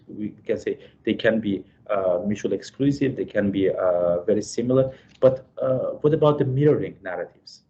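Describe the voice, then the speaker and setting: A man hosting an interview, asking questions in a halting way with many fillers and restarts. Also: fairly low pitch, darkish tone, dull audio, a little hiss or hum. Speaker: interview host.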